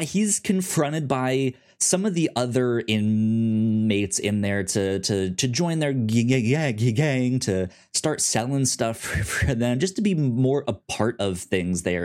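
Guy podcast co-host just chatting, kinda halting, trips and repeats words a lot, mid pitch. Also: a little mellow. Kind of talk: casual chat about a comic series.

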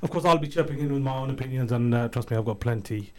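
A man's voice: mid-range voice, fast. A man hosting a community radio phone-in show, talking in a loose, chatty way with frequent ums and restarts. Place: radio studio.

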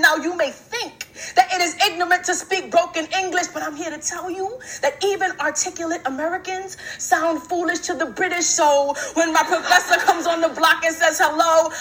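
A young woman performs spoken word poetry with a rhythmic, emphatic, rhyming drive. Her voice sits very high and rings brightly.

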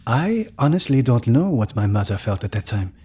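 A man's voice: medium pitch, big pitch swings, normal speed, dark tone, husky. A man acting in an audio drama as a reflective grown son recounting family history. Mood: reflective, measured. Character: reflective grown son recounting his parents' troubled marriage.

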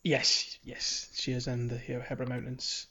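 A man's voice: mid-range voice, monotone, a little slow, pushed and bright. A man hosting a podcast, talking casually through a video game and sometimes reading aloud. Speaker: podcast host.

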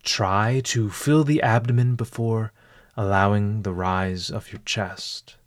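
A man narrating a scripted guided meditation as host, with formal, deliberate, ornate phrasing. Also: on the low side, fairly even intonation, no echo, clean studio sound.

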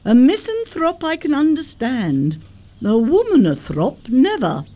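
A woman's voice: high voice, wildly swooping pitch, a little slow, mellow, full character voice. A woman playing a prim middle-aged governess, pompous, moralizing and coyly flirtatious, in a theatrical radio comedy of manners. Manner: pompous, precise, emphatic.

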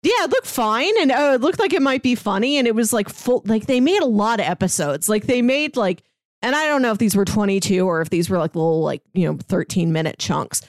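A woman's voice: mid-range voice, animated, fairly quick, dry recording, clean studio sound. A woman co-hosting a podcast, chatting casually about pop culture in a talkative way full of "like". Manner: chatty, frequent "like". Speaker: podcast co-host.

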